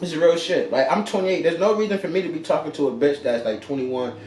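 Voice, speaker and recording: Man, late twenties, slightly high voice, animated, joking, slangy, echoey room. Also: quick pace, a little hiss or hum. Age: 20s. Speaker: podcast co-host. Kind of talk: casual chat.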